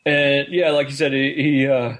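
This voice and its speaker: Male podcast co-host, mid-pitched voice, casual fan-discussion delivery. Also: level tone, normal speed, fairly bright tone. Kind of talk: casual discussion of an audio drama.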